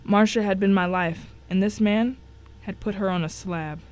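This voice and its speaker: A woman's voice: mid-range voice, fairly even intonation, moderate pace, natural voice. A woman playing the grim first-person narrator of a crime thriller in a scripted dramatic reading. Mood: grim, tense.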